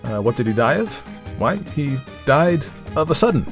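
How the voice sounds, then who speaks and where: A man's voice: fairly high pitch, big pitch swings, unhurried, music in the background, voice pushed up. A man voicing an evasive storyteller who answers hesitantly and with some annoyance, in a storybook reading. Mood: evasive, annoyed. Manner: hesitant, testy.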